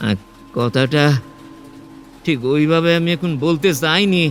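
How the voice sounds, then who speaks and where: An actor playing a penniless, wary, proud drifter in a Bengali audio play, speaking in a hesitant, defensive stammer. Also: male voice, a little high, a little slow, close to an everyday voice. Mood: hungry, defensive. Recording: faint background score behind the voice. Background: faint music.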